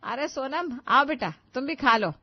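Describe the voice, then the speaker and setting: A woman's voice: sits high, animated, measured pace, no room sound, pitched above the performer's own voice. A woman as a kindly older mother-in-law, dull recording. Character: kindly older Indian mother-in-law, gently instructing, protective.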